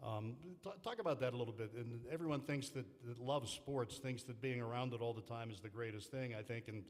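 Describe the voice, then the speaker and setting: A man's voice: medium pitch, brisk. A man moderating a panel, asking long, rambling questions with restarts. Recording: hall reverb from a lecture room.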